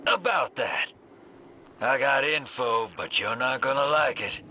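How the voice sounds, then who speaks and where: Slow, wry rogue informant. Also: male voice, medium pitch, expressive, pushed and bright, a little hiss or hum. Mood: wry, ominous. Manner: slangy, dramatic, drawn-out delivery. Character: wry, shady rogue informant, adult man. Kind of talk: scripted video game dialogue.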